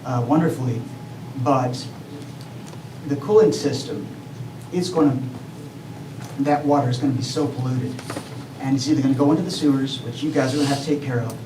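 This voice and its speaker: A man giving an urgent, earnest public comment at a city council meeting, halting with fillers as he goes. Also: mid-range voice. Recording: echoey hall, distant mic, noisy.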